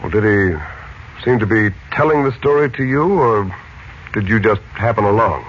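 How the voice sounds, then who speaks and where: An actor playing a calm, knowing middle-aged uncle who investigates matters in a 1940s radio drama. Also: male voice, on the low side, normal speed, lightly acted. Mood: measured, reasoning. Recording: muffled, faintly noisy 1940s radio recording.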